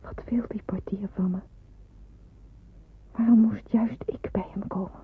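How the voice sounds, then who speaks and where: An actress playing an anguished, dutiful and fearful young nurse in a Dutch radio play, hesitant and brooding, with a breathy, husky voice. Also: female voice, mid-range voice, steady tone, unhurried, slight background noise, lightly acted. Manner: hesitant, brooding, self-questioning. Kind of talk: Dutch radio play acting, inner monologue. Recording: muffled tinny old radio recording.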